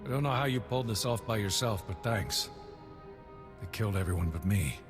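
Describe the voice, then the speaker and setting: A man plays a shaken, earnest soldier in a video game. The voice is on the low side and plain-spoken, with long reverb and clear music underneath. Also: lively intonation, brisk, natural voice. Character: shaken, grateful male military captain rescued from captivity. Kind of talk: scripted video game cutscene acting.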